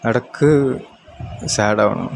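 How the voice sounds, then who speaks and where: Halting Malayali man in noisy clip. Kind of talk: casual private voice message.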